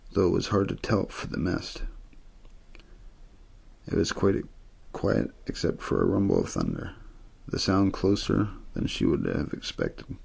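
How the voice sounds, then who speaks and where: A man reading a scripted story in ASMR style, with a plain, soothing, untheatrical delivery and a husky, gravelly voice. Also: low-pitched, darkish tone. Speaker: audiobook-style story reader.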